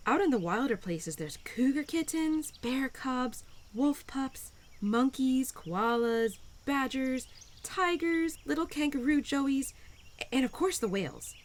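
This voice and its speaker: A woman playing a cheerful, playful, nurturing young spirit of spring in an audio roleplay, affectionate and gently teasing as she speaks to the listener. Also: sits high, expressive, slow. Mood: gushing, wistful.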